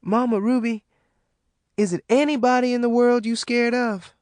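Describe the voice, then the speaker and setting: Man playing a timid worried girl, hesitant, high pitch, dry sound. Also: fairly even intonation, normal speed, no echo. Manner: hesitant, soft-spoken. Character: timid worried young woman of eighteen.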